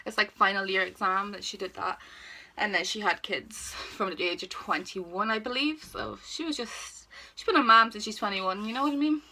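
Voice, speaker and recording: A woman's voice: mid-range voice, normal speed, pushed and bright, rough recording. A woman aged twenty-one with an Irish accent, chatting casually and informally as she answers questions for a vlog. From Ireland. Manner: chatty, informal, self-deprecating, exclamatory. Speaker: vlogger. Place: indoors at home, sitting on the floor.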